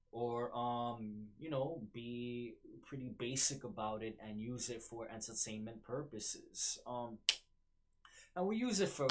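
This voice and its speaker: A man with a New York accent hosting a solo podcast, rambling with many fillers and restarts. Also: fairly low pitch. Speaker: podcast host.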